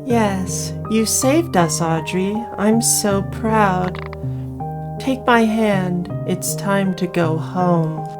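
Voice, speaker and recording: A woman's voice: medium pitch, drawn-out words. A woman voicing a deceitful witch doppelganger in a visual novel, falsely tender and coaxing. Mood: soothing. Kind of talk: visual novel character acting. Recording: music behind voice.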